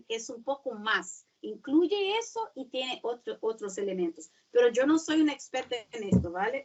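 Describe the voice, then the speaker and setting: A woman who is not a native Spanish speaker, giving a seminar talk and often pausing to search for words. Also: fairly high pitch, bright, forceful tone, distant mic, highs cut off. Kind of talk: webinar talk.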